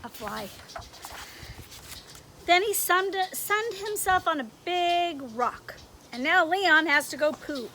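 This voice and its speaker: A woman's voice: very high voice, animated, slow, long pauses, bright, forceful tone, a little hiss or hum. A woman reading a picture book aloud outdoors for children, expressively, often stopping to ask them questions. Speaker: story reader for young children.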